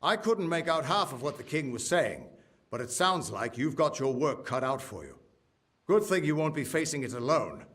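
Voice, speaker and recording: An actor playing a chummy, streetwise ally in a fantasy game, talking in a casual, encouraging way. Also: male voice, fairly high pitch, sing-song, normal speed, fairly bright tone. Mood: friendly, confident. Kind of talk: scripted fantasy game acting.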